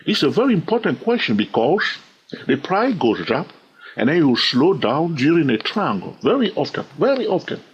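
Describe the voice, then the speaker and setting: Animated man teaching trading, husky voice. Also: dull audio. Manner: repeats "all right?" to the viewer, asks questions; animated, sudden upward pitch jumps. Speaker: trading educator. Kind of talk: screen-share trading lesson.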